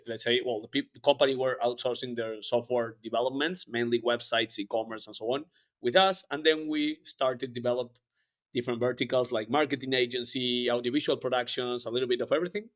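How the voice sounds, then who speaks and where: Man in his thirties, guest on a podcast, chatty and rambling, mid-pitched. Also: steady tone, a little fast. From Argentina. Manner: rambling, frequent fillers and restarts. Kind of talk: casual interview chat.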